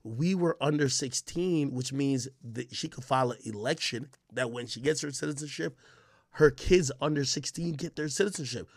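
A man's voice: medium pitch, moderate pace, dry recording, clean studio sound. A man hosting an unscripted reaction podcast, talking in a brash, slangy, profane and emphatic way. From Jamaica. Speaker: podcast host and commentator. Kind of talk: unscripted reaction commentary, casual streamer talk.

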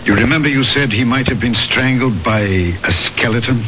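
An actor playing a grave, haunted police official in a 1970s radio mystery, speaking in a formal, deliberate, dramatic way. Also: male voice, mid-range voice, close to an everyday voice. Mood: ominous. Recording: muffled, noisy 1970s radio recording.

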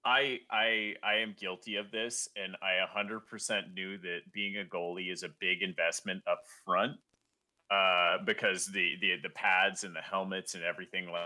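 A man talking casually as a podcast guest, animated, with frequent stumbling restarts. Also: low-pitched, normal speed. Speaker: guest goalie.

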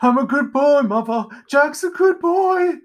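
Whiny, high-strung pumpkinhead creature. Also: animated, slow pace, a bit bright, heavily acted. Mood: tearful. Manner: wailing, moaning, melodramatic outbursts. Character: trembling, whiny, self-pitying pumpkinhead creature prone to fits.

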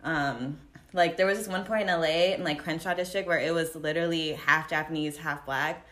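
Lower-pitched young American woman, reflective. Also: fairly even intonation, a little fast, a bit bright. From United States, Los Angeles. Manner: reflective, informal, frequent "like". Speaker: interviewee. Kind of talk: casual personal interview answers.

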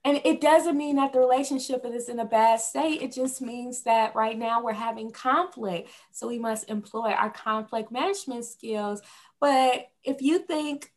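A woman's voice: on the high side, unhurried, darkish tone. A young woman from Indiana, a podcast guest, arguing her point in an emphatic, teasing way. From Indiana, USA. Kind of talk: casual conversational debate.

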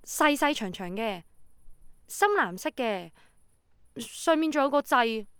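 Young hong kong woman in a radio drama, high voice, wary n easily flustered, dry sound. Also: expressive, takes it slowly, fairly bright tone, clean tone, dry recording. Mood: bright, curious. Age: young adult. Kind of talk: Cantonese radio drama acting and first-person narration.

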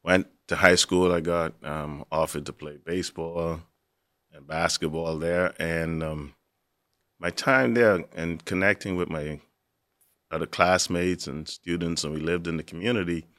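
Middle-aged man, very low voice, dry close mic; earnest, reflective storyteller. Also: fairly even intonation, a little slow, fairly bright tone, no echo. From Bahamas. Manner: reflective, earnest, with easy laughs. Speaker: university assistant director, guest. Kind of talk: personal storytelling in conversation.

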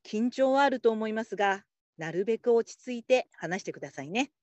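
A woman playing a patient, supportive call-centre supervisor in a radio drama, calm and politely instructive. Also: on the high side, a little fast, dry recording, close to an everyday voice. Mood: encouraging. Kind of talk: Japanese radio drama acting.

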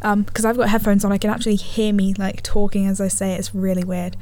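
A woman's voice: medium pitch, flat delivery, fast, warm tone. Rambling teen girl with a cold. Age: teens. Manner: rambling, self-correcting, chatty. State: slightly gravelly from a cold. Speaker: podcast host. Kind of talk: casual solo podcast chat. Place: living room at home. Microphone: handheld studio mic.

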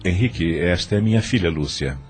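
A man's voice: deep voice, lively intonation, fairly quick, a little mellow, faint music behind. An actor playing a genial, easygoing middle-aged father, a wealthy farmer, in a radio soap.

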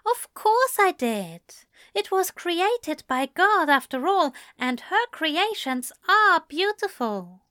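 A woman's voice: very high-pitched, very animated, slow, bright, forceful tone, dry recording, clean studio sound, voice pushed up, full character voice. A woman voicing a prim, snippy male angel who is easily flustered and scandalised, in a fan fiction story reading. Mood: indignant, earnest. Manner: prissy, snippy, evasive.

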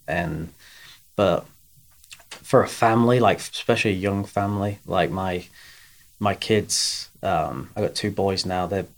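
A man from England in his thirties, voicing a golf coach in a relaxed podcast chat. His voice sits low. He talks in a halting way, with frequent fillers and restarts. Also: vocal fry. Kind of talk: casual interview.

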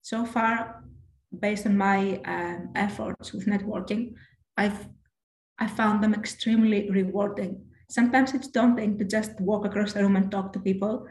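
Woman guest, low voice, flat even delivery, measured and self-correcting, echoey room. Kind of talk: careers advice interview.

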